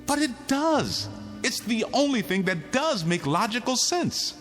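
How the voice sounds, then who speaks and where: A man's voice: very high voice, sing-song, unhurried, a bit bright, faint music behind, exaggerated voice. An actor playing a weary, insistent condemned man pleading his case in a radio drama. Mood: urgent, insistent. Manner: insistent, pleading, arguing in rhetorical questions. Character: condemned male prisoner insisting the world is his nightmare, weary, urgent.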